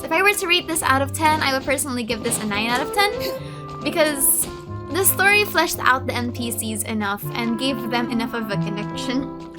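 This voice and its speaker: A woman hosting a gameplay video, giving casual, effusive reactions and often repeating her phrases. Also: sits high. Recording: game music under the voice.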